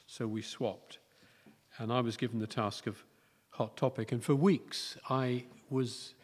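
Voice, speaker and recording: A British man preaching a sermon in a candid, conversational way, his voice hoarse and croaky. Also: mid-range voice, a little mellow. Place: church hall. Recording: long reverb of a church hall.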